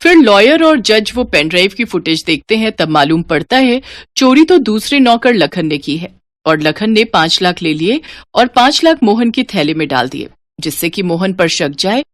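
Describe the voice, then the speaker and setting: A woman narrating a cartoon story in an expressive, lilting storytelling manner. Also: mid-range voice, normal speed, no room sound, clean studio sound.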